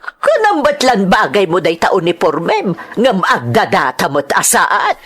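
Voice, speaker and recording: An actress playing a mocking, envious middle-aged village aunt in an Ilocano radio drama, sarcastic and drawling, with a Filipino accent. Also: female voice, medium pitch, huge pitch swings, a little slow, fairly bright tone, slightly rough, full character voice.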